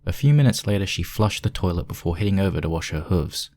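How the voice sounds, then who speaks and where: A man's voice: low voice, expressive, fast talker, a little mellow. A man reading scripted story narration as the narrator.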